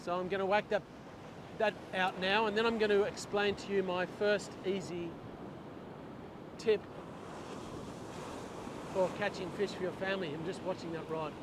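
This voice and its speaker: A man's voice: high voice, normal speed, pauses often. A middle-aged man explaining step by step how he prepares bait and fishes from a beach, on a lapel mic outdoors. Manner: steady step-by-step explaining while working. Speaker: fishing instructor. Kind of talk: hands-on fishing tutorial. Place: open beach by the surf. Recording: wind and surf noise on lapel mic.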